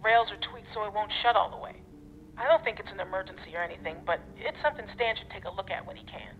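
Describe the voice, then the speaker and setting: An actress playing a casual, practical guard in a dramatic video game, heard through a walkie-talkie filter. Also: female voice, medium pitch, quick pace. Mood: matter-of-fact. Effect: walkie-talkie radio filter. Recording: dull, noisy walkie-talkie line.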